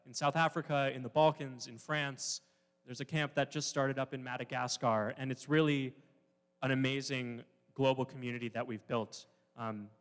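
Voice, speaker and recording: Man, twenties, from Wisconsin, casual spoken introduction, fillers and self-corrections, average pitch, echoing hall. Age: 20s. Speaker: choir staff member introducing a song. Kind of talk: spoken introduction at a choir concert-lecture. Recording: reverberant hall.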